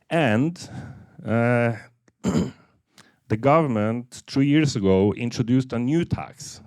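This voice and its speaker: A man in his fifties from Norway, Norwegian as first language, giving a halting business presentation with many fillers. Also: mid-range voice, darkish tone, clean tone, dry recording, studio quality. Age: 50s. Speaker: seminar speaker.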